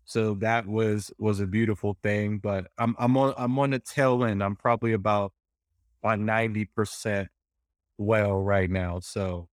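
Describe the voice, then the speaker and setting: A man co-hosting a casual podcast, sounding nasal from a summer cold, relaxed and friendly. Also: lowish voice, unhurried, dry recording, studio quality. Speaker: podcast co-host.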